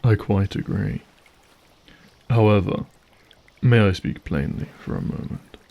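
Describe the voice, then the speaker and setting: A man's voice: lowish voice, animated, unhurried, vocal fry, highs cut off, heavily acted. A man playing a courtly, aristocratic monster lord in an audio drama, speaking with formal, gracious courtesy. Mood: smooth, diplomatic.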